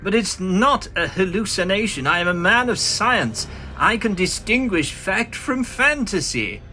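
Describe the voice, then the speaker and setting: An actor playing a small, bitter, frantic mad professor in a vintage comic audio drama, agitated, halting and melodramatic. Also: male voice, high-pitched, sing-song, sharp tone, a little hiss or hum, heavily acted. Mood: distressed, pleading.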